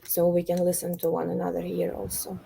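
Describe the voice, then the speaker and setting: A woman from Ukraine, an elementary teacher, presenting an online workshop over a video call, speaking with many pauses, fillers and restarts. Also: fairly low pitch, completely flat delivery, creaky, dark tone, slight background noise.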